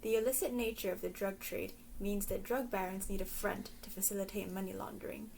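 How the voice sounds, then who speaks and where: A woman from Singapore giving a scripted explanatory talk in a measured way. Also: medium pitch, fairly even intonation, gravelly, darkish tone, slight background noise. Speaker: presenter. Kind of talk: scripted educational narration.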